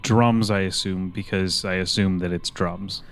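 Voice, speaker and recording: A man's voice: low-pitched, fairly even intonation, unhurried, soft background music. A man taking part in a tabletop game as a player, improvising narration in a casual way.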